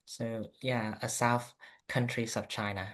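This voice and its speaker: A man's voice: medium pitch, level tone, measured pace, a bit bright. A young man from Vietnam, a native Vietnamese speaker and first-year university student, introducing himself briefly and casually. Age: young adult.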